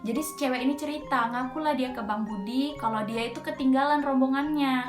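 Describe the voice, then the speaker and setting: Young woman w medan accent, chatty spooky story, high voice, echoey room w music behind. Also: brisk. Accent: Medan Indonesian. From Medan, Indonesia. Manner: chatty, slangy, tosses in frequent filler interjections. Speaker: storyteller host. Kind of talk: casual horror storytelling.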